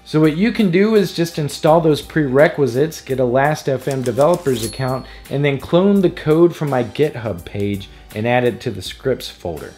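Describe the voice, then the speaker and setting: A man narrates a scripted how-to tutorial as its host. His voice sits at a medium pitch, and his delivery is casual and explanatory. Music plays behind him. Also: animated, normal speed, few pauses, darkish tone. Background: background music.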